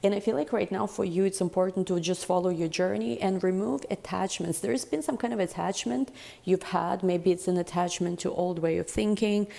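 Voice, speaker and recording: A woman giving a casual tarot reading in a deep, chesty voice, rambling and reflective with many fillers. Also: gravelly, warm tone. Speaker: tarot reader.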